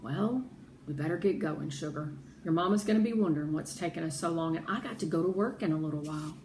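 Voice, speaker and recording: A woman voicing a cautious, stubborn father in a children's novel, gentle but firm. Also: low-pitched, quick pace. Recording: roomy echo with background noise.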